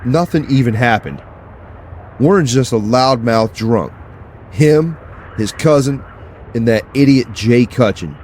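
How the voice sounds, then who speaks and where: An actor playing a blunt, impatient, dismissive sheriff's deputy in an audio drama, with a sardonic manner. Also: male voice, mid-range voice, expressive, a little slow, long pauses, a little mellow, close to an everyday voice. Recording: faint vehicle ambience noise.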